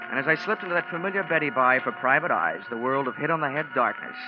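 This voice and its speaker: An actor playing a wry, hard-boiled American private investigator, narrating drily in 1940s radio detective style. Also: male voice, medium pitch, expressive, fast, music in the background, natural voice. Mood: wry narration. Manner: dry, sardonic. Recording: dull 1940s radio recording.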